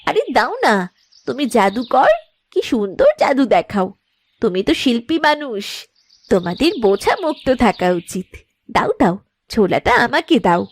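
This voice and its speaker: A woman's voice: a little high, very animated, moderate pace. A woman playing a work-shy young farmer's wife in a cartoon, with a whiny, scheming, exaggerated manner. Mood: coaxing. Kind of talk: animated moral-story cartoon dialogue.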